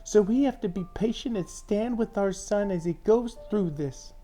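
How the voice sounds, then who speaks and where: A woman's voice: medium pitch, normal speed, mellow, pitched above the performer's own voice, natural voice. A man voicing an anxious, fiercely protective mother in an audiobook story, with music underneath. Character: anxious, protective mother, fiercely loving, warns her husband.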